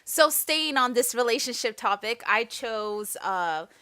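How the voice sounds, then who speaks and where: Bright-voiced chatty young woman. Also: fairly high pitch, expressive, a little slow, clear, resonant tone. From Mexican-American. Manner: chatty, lots of "like", swears. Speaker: podcast co-host. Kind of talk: casual chat.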